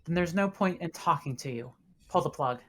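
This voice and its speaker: A decisive female spaceship officer, acted by a woman at a table read. Her voice is low, with a firm, blunt delivery that gives orders and takes little on trust. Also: animated, brisk, a bit bright. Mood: demanding. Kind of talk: dramatic table read of a film script.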